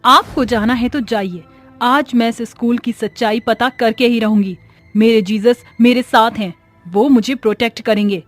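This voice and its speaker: Woman playing a high-voiced determined schoolteacher. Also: fairly even intonation, normal speed, soft background music, natural voice. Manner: emphatic, challenging. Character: brave devout female schoolteacher, suspicious and determined. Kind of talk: dramatic horror story acting.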